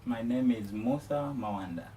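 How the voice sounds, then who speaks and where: A man's voice: lowish voice, lively intonation, moderate pace, mellow. A man from Uganda, an international student, giving frank, casual interview answers. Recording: roomy, distant, light noise.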